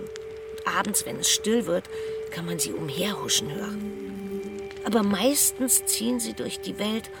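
An actress playing a motherly troll who tells old tales in a children's radio play, sometimes hushing to a whisper. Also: mid-range voice, vocal fry. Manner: storytelling, hushed at times. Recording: loud music bed under dialogue.

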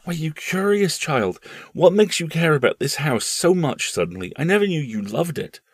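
Brief: weary stern mother, a male reader's put-on voice, raised pitch, a little gravelly. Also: very animated, moderate pace, dry recording, clean studio sound, heavily acted. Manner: firm yet tired, worried.

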